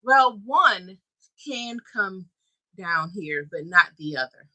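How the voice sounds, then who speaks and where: Bright-voiced chatty woman host. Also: medium pitch, lively intonation, takes it slowly, rough recording. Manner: chatty, greets viewers by name. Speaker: co-host of a toy livestream. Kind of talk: casual livestream chat. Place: home toy museum room. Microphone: camera-mounted mic.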